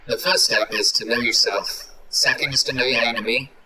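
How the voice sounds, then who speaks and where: A man's voice: mid-range voice, fairly even intonation, a little fast, bright, forceful tone, low-quality recording. A man voicing a stern clone soldier who teaches combat in a tabletop game, terse and commanding. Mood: stern, instructing. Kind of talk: tabletop game role-play.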